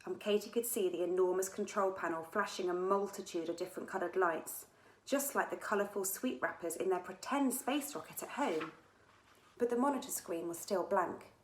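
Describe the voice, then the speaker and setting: A woman reading a children's story aloud as its author, in an expressive storytelling manner. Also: lowish voice, moderate pace, slight background noise. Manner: expressive, with dramatic character dialogue. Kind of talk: scripted children's story narration. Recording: echoey room sound.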